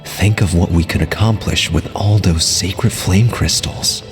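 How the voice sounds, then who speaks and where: Cold greedy game villain, low voice, long reverb and music. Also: male voice, measured pace. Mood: cold, covetous. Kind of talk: video game voice acting.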